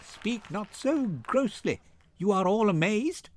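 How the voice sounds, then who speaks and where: A man's voice: high-pitched, lively intonation, takes it slowly, noisy background, voice pushed up. An older man voicing a witty, commanding young noblewoman in a poised, theatrical Shakespeare reading. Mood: poised, authoritative.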